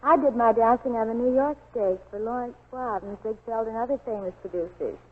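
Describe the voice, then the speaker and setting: A woman's voice: fairly high pitch, fairly quick, slightly rough. A young woman as an interview guest giving a scripted testimonial on 1940s radio. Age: young adult. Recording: tinny, lo-fi 1940s radio recording.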